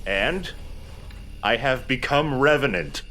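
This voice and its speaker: A man's voice: medium pitch, lively intonation, slow, pushed and bright. A man giving casual, joking, chatty commentary while playing a video game. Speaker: let's play host playing the game.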